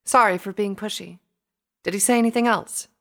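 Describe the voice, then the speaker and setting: A woman's voice: mid-range voice, fairly quick, vocal fry, fairly bright tone, voice pushed up. An inquisitive young female scholar pony from a storybook, voiced by a woman. She is earnest and considerate, questioning in a raised voice. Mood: concerned.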